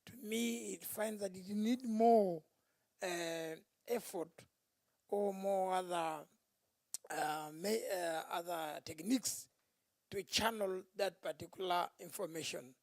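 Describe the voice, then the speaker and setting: A man with a Tanzanian accent asking spontaneous questions from the floor at a conference, halting and repeating himself. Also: high voice, no room sound, clean studio sound. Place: indoor conference.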